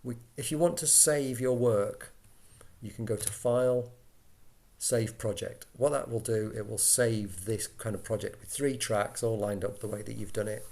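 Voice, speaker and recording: A man talking through an informal software tutorial, casual and a little hesitant as he thinks aloud into a laptop microphone. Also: lowish voice, steady tone, normal speed, creaky. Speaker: tutorial presenter for radio volunteers. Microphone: built-in laptop microphone. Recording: laptop mic, background noise, key clicks.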